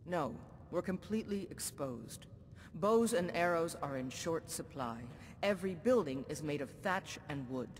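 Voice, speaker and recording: Casting: a stern old war-horse ruler, formal, slightly high voice, long reverb. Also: male voice, expressive. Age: older. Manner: measured, formal and commanding. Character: older male jarl, a former soldier, stern and dutiful. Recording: game audio with long reverb and faint rumble.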